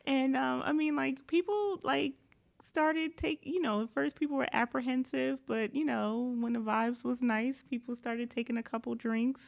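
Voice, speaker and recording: A woman's voice: high-pitched, fairly even intonation, normal speed, vocal fry. A woman co-hosting a podcast, chatting casually in a laid-back, rambling way and laughing often. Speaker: podcast co-host. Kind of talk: casual chat.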